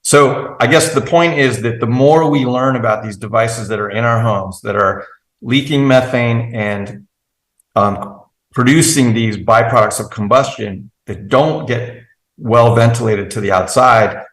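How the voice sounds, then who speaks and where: A man's voice: mid-range voice, unhurried, a bit bright, echoey room, poor audio. A man as an interview guest, explaining at length in an earnest, methodical way with frequent restarts. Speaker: guest expert.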